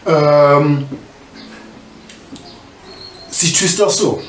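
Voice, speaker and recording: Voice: mid-range voice, extremely slow, distant mic. The kind of South African host you would hear on a small homemade studio chat show, informal and rambling, a little away from the mic in a roomy space. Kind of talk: casual chat interview, mixing English with local language. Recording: roomy echo, off-mic, faint noise.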